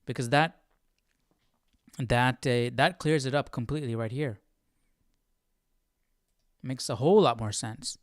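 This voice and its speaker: A man hosting a live Bible study with casual, animated commentary, thinking aloud in halting bursts. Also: medium pitch, dry recording, studio quality.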